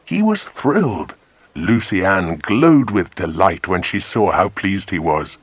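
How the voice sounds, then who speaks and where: A man's voice: sits low, big pitch swings, measured pace, husky, no echo. A man narrating a children's adventure story from a script as an audiobook.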